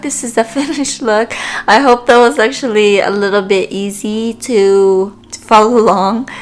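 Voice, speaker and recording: A woman's voice: fairly high pitch, creaky. A woman with a Filipino accent talks through a makeup tutorial in a casual, hesitant way, with frequent ums. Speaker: makeup vlogger.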